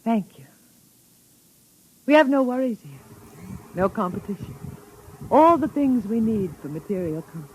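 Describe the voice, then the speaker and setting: An actress playing a placid, gracious, youthful wife and hostess in 1950s radio drama style. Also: female voice, fairly high pitch, animated, unhurried, long pauses, a little mellow, slightly rough. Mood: serene. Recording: noisy vintage 1950s radio recording.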